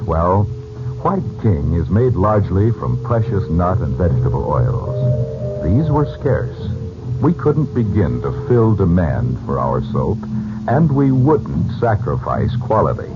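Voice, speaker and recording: An American man announcing a 1940s radio serial in a formal, theatrical, measured scripted style. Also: low-pitched, very animated. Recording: muffled 1940s radio recording, music behind. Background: music.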